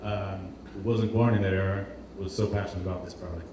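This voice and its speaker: Echoey, distant man presenting haltingly. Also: low-pitched, little pitch movement. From Portugal. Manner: hesitant, repeats words as he searches for phrasing. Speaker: presenter, industrial designer. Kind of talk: informal conference presentation. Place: indoor event space with heavy echo. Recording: roomy, distant, noisy live hall recording.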